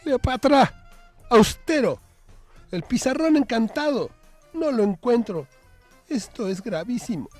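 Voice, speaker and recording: A man voicing a prim, easily offended old aunt in a shrill, exclamatory way, in a story reading. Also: animated, slow, long pauses, soft background music, heavily acted. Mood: alarmed.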